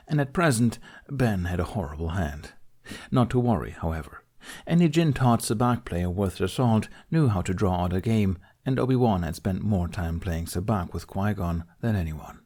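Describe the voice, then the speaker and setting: A man's voice: on the low side, darkish tone. A man reading scripted fiction as an audiobook narrator.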